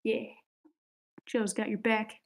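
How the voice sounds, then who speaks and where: A woman's voice: a little high, completely flat delivery, slow. A woman hosting a script table read in a casual way over an online group call.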